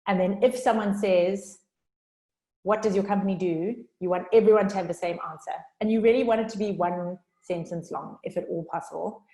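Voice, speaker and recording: A woman with a South African accent giving a chatty workshop talk on a video call, talking fast and informally. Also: lowish voice, mellow. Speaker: workshop speaker. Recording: roomy echo.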